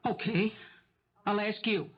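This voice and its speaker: Dull old radio drama recording, a husky middle-aged mom, insistent, pleading and bitter about her son. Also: medium pitch, slow. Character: desperate, stubborn, poor mother defending her accused son. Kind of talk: 1970s radio drama acting.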